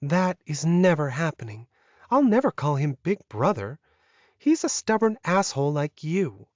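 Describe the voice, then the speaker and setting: Man voicing an anxious young she-wolf, raised pitch. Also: sing-song, a little slow, a little mellow, no echo, dull audio. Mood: stubborn. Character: anxious young werewolf woman, soft and emotional.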